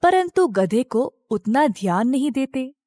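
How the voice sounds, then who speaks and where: A woman's voice: high voice, very animated, a little slow, warm tone, dry recording, studio quality. A woman narrating a scripted moral story for children as a storyteller.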